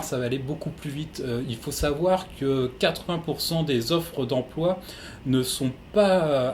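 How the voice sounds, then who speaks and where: A man's voice: mid-range voice, a little hiss or hum. A man working in human resources, giving methodical, practical advice to newcomers in an interview.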